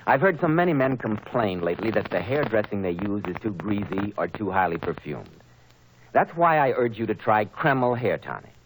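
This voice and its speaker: A male radio announcer in 1940s style. His pitch moves in lively swings as he delivers polished, emphatic sales talk. It has the sound of an old radio recording. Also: moderate pace, noisy background.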